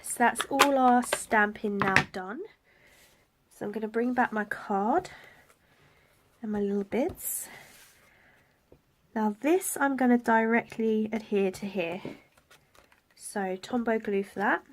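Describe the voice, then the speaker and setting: A woman with a cold and a raspy, blocked-up voice, demonstrating a card-making project softly, sometimes near a whisper. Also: on the high side, slow pace, pauses often. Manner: soft, partly whispered while working. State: congested from a cold. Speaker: stamping craft demonstrator. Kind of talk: craft tutorial.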